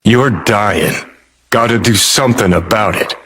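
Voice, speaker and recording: A man's voice: fairly low pitch, a little slow, a bit bright, husky. An American man playing a cynical, world-weary rebel rock star in a video game, with a sardonic, clipped drawl and plenty of swearing. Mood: gruff, wry. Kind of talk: video game character dialogue.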